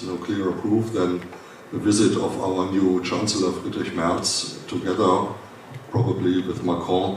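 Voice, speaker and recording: A man from Germany with a German accent, giving a formal welcome speech at a conference, pausing often with fillers and restarts. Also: sits low, recorded from a distance. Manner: halting, many "uh" fillers and restarts. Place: conference hall. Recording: echoey hall, speaker well off the mic, faint hiss.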